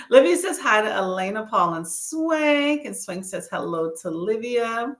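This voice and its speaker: A woman from Texas in her thirties hosts a casual reading livestream, chatting with her viewers and laughing often. Her light Southern accent colors certain words, and her pitch rises and falls a lot. The room around her sounds echoey. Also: big pitch swings, slow. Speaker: booktube livestream host. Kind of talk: casual live chat.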